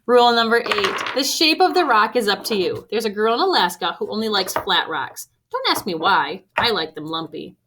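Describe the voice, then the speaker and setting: A woman reading a picture book aloud to children expressively, with deliberate emphasis on key phrases. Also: mid-range voice, sing-song, sharp tone. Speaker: read-aloud storyteller for children. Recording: faint background noise.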